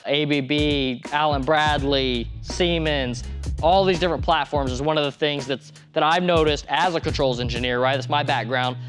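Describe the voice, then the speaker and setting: A man's voice: on the high side, a bit bright. A man hosting a podcast in loose, rambling casual chat, full of restarts. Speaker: podcast host.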